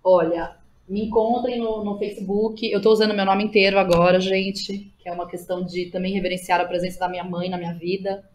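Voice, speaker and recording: A Brazilian woman in a casual podcast conversation. Her voice sits at a middle pitch. She speaks colloquially, with effusive, emphatic delivery. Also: little pitch movement, fairly quick, hardly any pauses, darkish tone, slight background noise. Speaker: podcast guest, playwright and educator.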